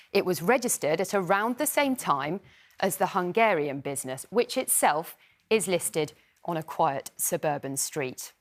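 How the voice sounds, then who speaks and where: A woman explaining findings to a presenter as a television news reporter. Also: fairly low pitch.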